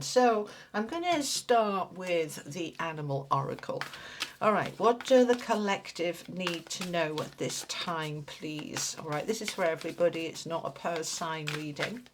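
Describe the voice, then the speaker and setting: A woman's voice: lowish voice, animated, measured pace, background noise. A woman giving a casual tarot reading, chatty and reassuring, a little nasal from a cold. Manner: chatty, reassuring, thinks aloud while pulling cards. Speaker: tarot reader.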